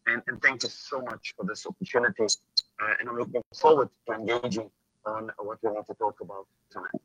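Hoarse South African man. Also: fairly low pitch, steady tone, a little fast, sharp tone, lo-fi sound. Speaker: pastor. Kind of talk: online panel introduction.

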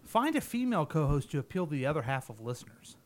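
A man's voice: a little high, big pitch swings, fast talker. A man hosting a small radio talk show in a studio, rambling and joking with his co-hosts and laughing often. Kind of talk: casual studio banter.

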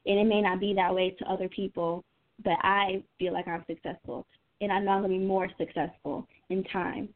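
A woman's voice: medium pitch, flat delivery, fairly quick. A woman of twenty-one answering interview questions casually, thinking aloud in a youthful, informal way. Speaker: singer being interviewed as guest. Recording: tinny narrow phone-line sound.

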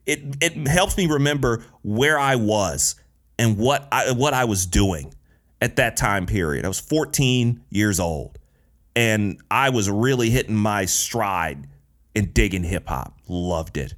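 A man's voice: lowish voice, animated, slow pace, a bit bright. Casual man in his thirties hosting a podcast. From Detroit, USA. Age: 30s. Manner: casual and candid, with frequent profanity and slang. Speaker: podcast host. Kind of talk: solo podcast monologue.

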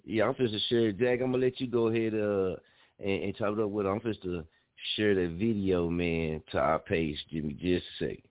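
A laid-back man with a fairly low voice hosts a call-in radio show, slipping into easy slang. The sound is thin and phone-like, dry and close. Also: brisk, dry recording. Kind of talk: casual call-in radio chat. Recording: tinny phone-like line, dry.

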